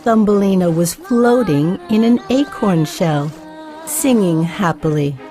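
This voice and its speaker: A woman storyteller reading a scripted children's tale with measured, careful phrasing for young listeners. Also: medium pitch, expressive, music in the background.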